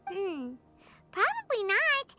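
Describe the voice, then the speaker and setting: A woman voicing a small, excitable, childlike fairy companion in a video game, chatty and perky, with a squeaky voice. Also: slow pace, faint music behind, heavily acted. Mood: earnest. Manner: perky, refers to self in third person.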